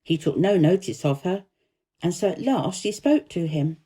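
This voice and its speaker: Mellow, expressive woman storyteller. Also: fairly low pitch, normal speed, breathy edge. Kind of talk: scripted children's audiobook narration.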